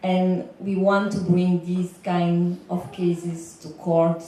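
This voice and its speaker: A woman's voice: on the low side, flat delivery, takes it slowly, mellow. A woman in her thirties from Belgium with a Belgian accent, speaking as a legal consultant on a panel, with fillers and small restarts. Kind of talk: panel talk. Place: large lecture hall. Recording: echoey lecture hall, far from mic.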